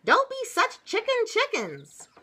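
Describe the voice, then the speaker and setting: A woman's voice: very high-pitched, huge pitch swings, takes it slowly, bright, forceful tone, voice pushed up, heavily acted. A woman voicing playful little frogs and mice in a bold, coaxing manner for a storybook. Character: playful small frogs and mice urging friends on.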